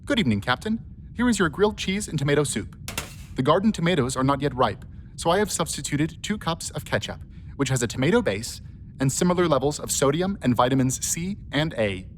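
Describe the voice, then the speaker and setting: A woman's voice: sits low, animated, brisk, a little hiss or hum. A woman playing a courteous, literal-minded spaceship robot helper in a radio play, speaking formally and politely. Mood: polite, helpful.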